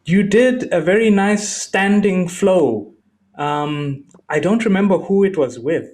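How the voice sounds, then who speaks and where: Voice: mid-range voice, slow, a little mellow. A podcast host in a casual interview, jokey and encouraging, with frequent short affirming hums.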